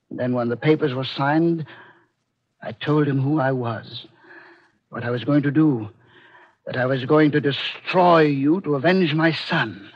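A man acting in a 1940s radio mystery as a scheming, vengeful old American doctor and grandfather, speaking in a measured, coaxing, theatrical way. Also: fairly high pitch, breathy edge. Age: older. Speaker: radio actor. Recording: muffled old radio recording.

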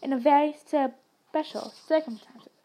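A woman reading aloud and voicing a big, gruff, kindly male gamekeeper in a loud, hearty voice. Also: sits high, a little slow.

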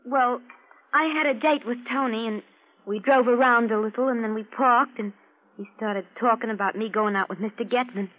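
Casting: actress for a self-admiring, artless femme fatale, highish voice, gushing slang with put-on gentility, vintage radio. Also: female voice, fairly even intonation, normal speed, natural voice. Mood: vain, artless. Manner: gushing slang mixed with stilted genteel phrases. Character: naive, vain working-class femme fatale putting on refinement. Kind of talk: 1940s radio drama acting. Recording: old lo-fi radio drama recording.

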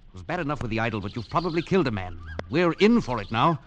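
An actor in a 1940s radio drama playing a stern, exasperated colonial old hand, emphatic and clipped. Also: male voice, medium pitch, big pitch swings, very fast, dull audio, close to an everyday voice. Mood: exasperated, scolding. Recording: noisy old radio broadcast.

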